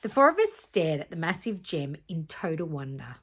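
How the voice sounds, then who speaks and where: A woman reading a children's story aloud in an expressive, dramatic teacherly way, with asides to young listeners. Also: sits low, moderate pace, a little hiss or hum. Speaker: teacher reading aloud to primary school students.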